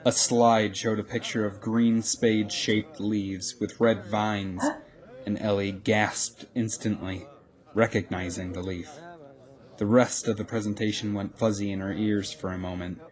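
A man's voice: fairly low pitch, level tone, measured pace. A man narrating a scripted audio drama as a storyteller. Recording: crowd ambience and noise behind.

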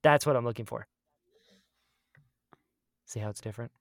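A man's voice: mid-range voice, moderate pace, creaky, dry recording, studio quality. A man co-hosting a podcast, chatting casually in a jokey, playful manner. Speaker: podcast co-host. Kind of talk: casual chat.

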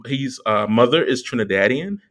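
A man co-hosting a casual film commentary, talking in a measured, halting way as he thinks aloud. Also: mid-range voice, expressive, fairly bright tone. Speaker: podcast co-host.

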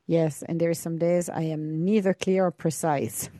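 A woman's voice: deep voice, steady tone, normal speed, warm tone, dry recording. A woman interviewing a guest in English, which is not her first language, asking curious questions and laughing often. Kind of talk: interview conversation.

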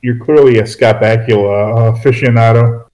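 A man's voice: medium pitch, fairly even intonation, moderate pace, warm tone, poor audio. A man co-hosting a casual chat about movies, rambling and correcting himself with dry asides. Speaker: podcast co-host.

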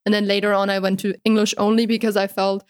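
A woman from Bavaria with a strong German accent, a German native speaker chatting casually as a guest and pausing to search for English words. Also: medium pitch, level tone, clear, resonant tone, no room sound, studio quality. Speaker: guest streamer. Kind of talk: casual chat.

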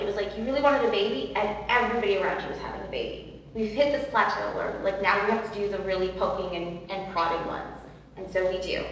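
A woman with a loud voice, telling her personal story earnestly as a guest in an interview, with many fillers and repeats. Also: medium pitch. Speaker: podcast guest. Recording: echoey hall from far off, faint noise.

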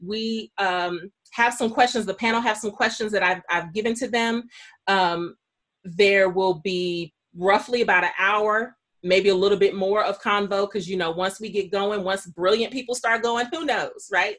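A woman's voice: medium pitch, normal speed, a bit bright. Woman hosting an online panel. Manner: chatty, housekeeping announcements with asides. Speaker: host and moderator of an online panel. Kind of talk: informal spoken event introduction. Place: online video call.

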